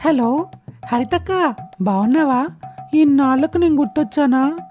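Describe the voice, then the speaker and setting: A woman's voice: high-pitched, a little slow. A woman voicing a chatty, boastful young man who talks in a girlish, chirpy way in a cartoon story. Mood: cheerful. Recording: dull lo-fi audio over music. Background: background music.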